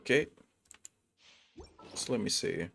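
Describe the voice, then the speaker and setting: Low-voiced man chatting on a game stream. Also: lively intonation, slow pace, gravelly, fairly bright tone, a little hiss or hum. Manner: slangy, chummy with viewers. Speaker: livestreamer playing a video game. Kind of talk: casual gaming stream chat.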